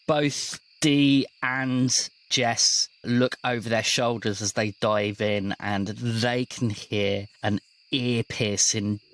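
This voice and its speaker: A man's voice: mid-range voice, fairly even intonation, takes it slowly, fairly bright tone, poor audio. A man acting as game master, narrating scenes and asking players for rolls in a tabletop role-playing game.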